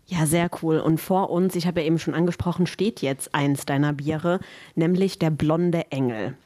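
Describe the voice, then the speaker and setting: A woman's voice: low voice, moderate pace, dark tone, no room sound. Chatty woman podcast host, dry sound. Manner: friendly, chatty questioning. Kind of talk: casual interview. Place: basement room.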